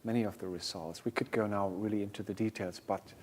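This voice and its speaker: A man's voice: lowish voice, fairly even intonation, a little fast, a little mellow, in a large hall. A man with a German accent and German as his first language, speaking as a music therapy researcher on a panel and often hesitating and restarting as he searches for words. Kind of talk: panel discussion.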